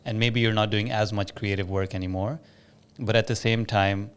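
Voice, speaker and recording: Guy hosting a business podcast, voice a bit low, casual chatty interviewing. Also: steady tone, normal speed, clear, resonant tone. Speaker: podcast host, business owner.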